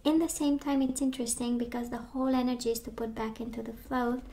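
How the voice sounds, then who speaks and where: A woman giving a casual tarot reading, chatty and reassuring, her voice strained as she loses it and clears her throat. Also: fairly high pitch, fairly even intonation, quick pace, mellow. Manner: chatty, reassuring, many fillers and restarts. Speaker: tarot reader. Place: indoors at a table of tarot decks.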